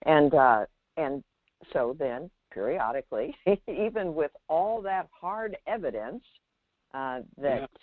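A woman's voice: low-pitched, expressive, no room sound. Woman teaching over a tinny phone line. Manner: measured, halting, with pauses and emphatic check-in questions. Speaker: Zen teacher hosting a call-in. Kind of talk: unscripted spiritual guidance on a call-in. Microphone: telephone.

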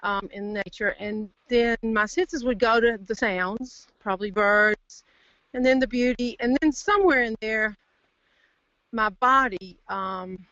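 Woman, slightly high voice, halting reflective caller, searching for words, dry lo-fi phone sound. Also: fairly bright tone, no echo. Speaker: caller to a group call. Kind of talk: casual reflective sharing. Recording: dull dry phone line.

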